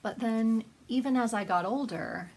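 A woman's voice: a little high, moderate pace, a little mellow. A young adult woman in casual conversation about books, earnestly explaining and reminiscing. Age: young adult, 20s to 30s. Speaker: host, book vlogger. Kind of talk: casual conversational book chat. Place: small book-lined room indoors.

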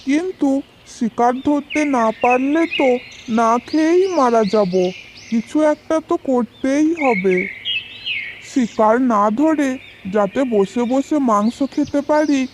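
A woman's voice: sits high, slow pace, a little hiss or hum, pitched below the performer's own voice. A woman voicing a sly, weary old fox in a children's cartoon folk tale, wheedling, mocking and plotting aloud. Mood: weary, complaining.

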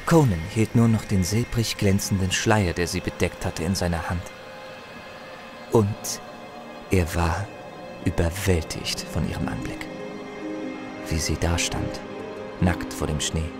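A man's voice: low voice, fairly even intonation, unhurried, long pauses. A man narrating a fantasy audio drama as a grave, intense storyteller, with music and effects behind him. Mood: grave, dramatic.

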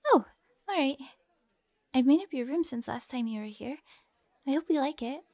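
Woman playing a high, creaky-voiced timid waitress girl. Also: lively intonation, moderate pace, dark tone, dry recording. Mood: eager, sweet. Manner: hesitant, sweetly earnest. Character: timid, eager young waitress girl, devoted and helpless. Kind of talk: audio drama acting.

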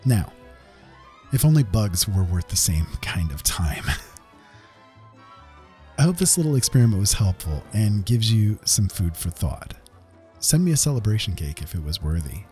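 A man's voice: fairly low pitch, very animated, moderate pace, pauses often, dark tone. A man hosting a gaming video, narrating a script in a casual, conversational way with emphatic touches. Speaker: video game content creator, host. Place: voice-over over game footage. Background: faint background music.

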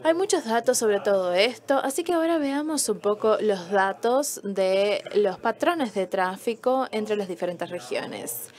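A woman's voice: mid-range voice, lively intonation, a little slow, few pauses, a little mellow. A woman interpreting a conference talk as it is given, explaining step by step and quizzing the audience.

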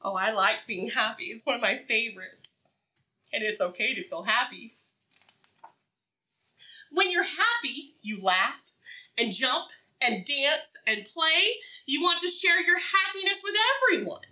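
Animated, high-voiced woman teaching preschoolers. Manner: animated, encouraging, sing-song questioning with pauses for answers. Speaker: preschool teacher reading aloud. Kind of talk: interactive read-aloud lesson for young children.

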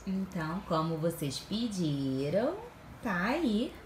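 A woman's voice: on the low side, very animated, takes it slowly, darkish tone, reverberant, a little hiss or hum. A woman with a Brazilian accent, a mother chatting casually to viewers while filming her daughter's vlog at home and prompting her child. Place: home bedroom, indoors.

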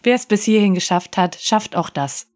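A woman with a medium-pitched voice co-hosts a podcast. She talks in a casual, conversational style. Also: expressive, normal speed, darkish tone. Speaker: podcast co-host.

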